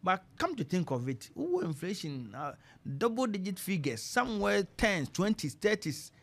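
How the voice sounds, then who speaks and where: A man's voice: high-pitched, very animated, normal speed, gravelly. A man with a Ghanaian accent hosting a conversational radio interview, interjecting and echoing his guest's points.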